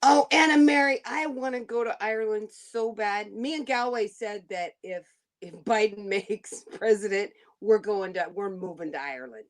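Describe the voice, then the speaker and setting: A woman from Alabama chatting as a podcast co-host, excitable and gushing. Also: mid-range voice, moderate pace. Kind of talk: casual chat.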